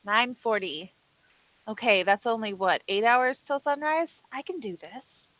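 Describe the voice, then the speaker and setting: A woman's voice: fairly high pitch, lively intonation, a little slow, fairly bright tone, rough recording. A woman playing a tired, uneasy young woman talking herself through the night in a screenplay table read. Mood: weary, uneasy.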